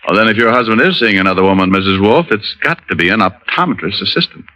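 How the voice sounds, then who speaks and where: Casting: glib American radio gumshoe, lively low delivery, bright edge, flirty and sardonic. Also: male voice, highs cut off. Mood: wry, flirtatious. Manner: wry, glib, quick with quips. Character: wisecracking, flirtatious male private detective. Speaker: detective and narrator. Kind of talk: 1940s-50s radio noir acting. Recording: old radio broadcast with music.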